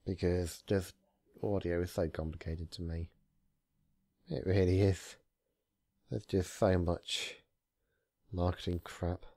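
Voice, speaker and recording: A man with a fairly low voice, recorded dry with little room around it. He hosts story readings, moving between casual talk and narration with deliberate, theatrical phrasing. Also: fairly even intonation, gravelly, warm tone, dry recording. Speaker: fan fiction reader, channel host.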